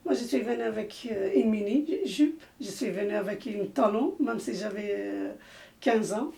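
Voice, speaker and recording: Older woman from turkey, arabic native, gravelly mellow voice, stops and restarts a lot, echoey room, sounds far from the mic. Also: mid-range voice, lively intonation. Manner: hesitant, halting speech with many restarts. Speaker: interviewee, mother. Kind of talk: personal testimony.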